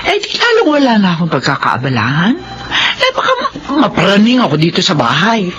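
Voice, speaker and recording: A woman's voice: medium pitch, huge pitch swings, fairly quick, a bit bright, highs cut off. An actress playing an anxious, loving mother in middle age, tender and worried, in a radio drama. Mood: wistful. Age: middle-aged. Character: anxious, loving, lonely mother nearing retirement.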